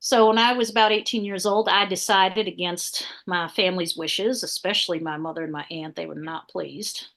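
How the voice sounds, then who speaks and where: Woman doing a sassy 1800s southern gal, tomboy spy, chatty and playful, a bit low. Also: steady tone, normal speed, few pauses, fairly bright tone. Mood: lively, playful. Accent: American Southern. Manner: chatty, playful storytelling in the first person. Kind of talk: living history portrayal in an interview.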